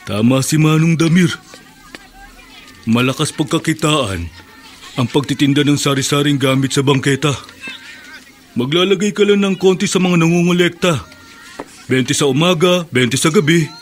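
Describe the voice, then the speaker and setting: An actor in a Filipino radio drama playing a wary sidewalk vendor with something to hide. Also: male voice, fairly high pitch, normal speed, pauses often, slight background noise, natural voice. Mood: scheming, reflective. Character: wary sidewalk vendor, a rebel hiding in the city.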